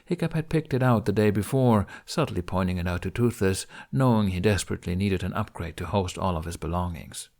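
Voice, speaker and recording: Low-pitched man narrating an audiobook. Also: fairly quick, hardly any pauses, darkish tone. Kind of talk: scripted fiction narration.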